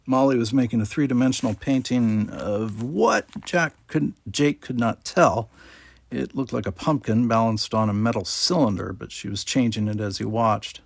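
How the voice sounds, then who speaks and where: A man narrates a story as an amateur audiobook reader. His voice sits at a middle pitch. The recording is dry, with little trace of a room. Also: normal speed, creaky, no echo.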